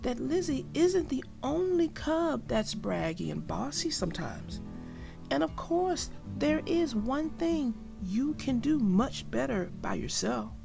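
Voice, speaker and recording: A woman voicing a calm, wise mother bear who speaks quietly in a children's storybook. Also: high-pitched, vocal fry, music in the background, voice pushed up, heavily acted. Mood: gently wise. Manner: quiet, measured, gently reasoning. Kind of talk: children's storybook read-aloud.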